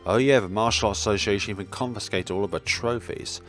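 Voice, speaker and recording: A man's voice: lowish voice, quick pace, a bit bright. A man reading a visual novel aloud for a gaming video, dropping in casual, musing side remarks. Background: game music.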